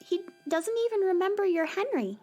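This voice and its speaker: A woman playing Mary Farfisa, an earnest, soft-hearted young girl who travels through space in a children's radio drama. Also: extremely high pitch, lively intonation, brisk. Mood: sad. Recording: music playing behind.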